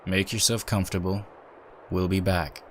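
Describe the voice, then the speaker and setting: Man voicing a deep, stern, suspicious believer. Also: flat delivery, moderate pace, a little hiss or hum, natural voice. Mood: curt. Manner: terse, edgy, distrustful. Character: stern, devout, suspicious man, hard-edged and stubborn. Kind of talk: audio drama reading.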